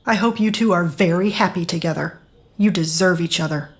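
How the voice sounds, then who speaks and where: A woman's voice: fairly low pitch, fairly quick, lightly acted. A woman in an audio drama playing a blunt, disillusioned friend with a bitter, accusing manner. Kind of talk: scripted audio drama acting.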